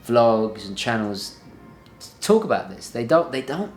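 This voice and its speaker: A man's voice: mid-range voice, sing-song, a little slow, soft background music. A young man vlogs from his home study, speaking earnestly and with emphasis. He often doubles back, repeating words as he pushes a point home. His mid-range voice rings a little in the echoey room, picked up by a camera at the desk. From born in London, early childhood in northern Italy. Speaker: lifestyle vlogger. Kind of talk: casual talk to camera. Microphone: camera at desk distance.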